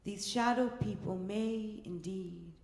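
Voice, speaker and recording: A woman narrating a literary story as a live reading, with measured, deliberate phrasing and pauses. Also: medium pitch, vocal fry, darkish tone. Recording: echoey hall with long reverb.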